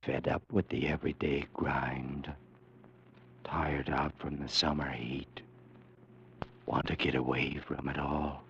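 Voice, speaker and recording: A man announcing a vintage radio suspense show, with an ominous, hushed, theatrical delivery. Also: a bass voice, level tone, takes it slowly, long pauses, breathy edge, no echo. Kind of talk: dramatic radio show opening. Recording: dull old radio broadcast with music.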